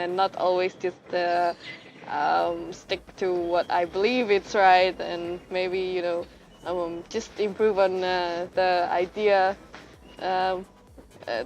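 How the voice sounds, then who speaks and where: A woman's voice: fairly low pitch, a little mellow. Hesitant young woman from Indonesia. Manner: hesitant, thoughtful. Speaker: master's student. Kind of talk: interview.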